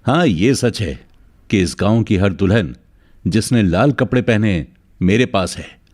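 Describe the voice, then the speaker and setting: A cursed werewolf in human form acted by a man, fairly low in pitch. He is bitter and menacing, speaking in dramatic threats and accusations for a cartoon fairy tale. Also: expressive, measured pace, darkish tone.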